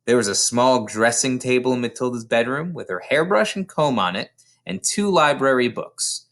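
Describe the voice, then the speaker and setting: A man reads a children's story aloud as a storyteller. His pitch is very lively and moves widely, giving the narration an expressive, dramatic shape. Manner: dramatic, animated storyteller delivery. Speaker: storybook reader.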